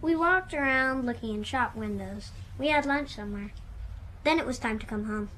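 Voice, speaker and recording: A man's voice: extremely high pitch, moderate pace, pushed and bright. A young male actor playing an anxious ten-year-old boy, hesitant and plain-spoken in a radio drama. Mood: anxious, subdued. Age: child. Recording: noisy ambient background.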